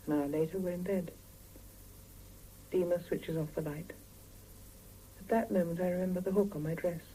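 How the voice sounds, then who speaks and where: A woman's voice: low-pitched, dark tone, lightly acted, low-quality recording. An actress playing a weary, exasperated Soviet working mother with dry, sardonic delivery in a 1970s radio drama. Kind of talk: 1970s radio drama, dialogue and intimate first-person narration. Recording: hissy 1975 radio drama cassette, mono.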